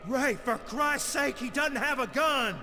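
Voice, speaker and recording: Agitated, high-voiced Western gunslinger. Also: male voice, unhurried. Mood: exasperated. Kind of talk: dramatic video game acting. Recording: long reverb with music behind.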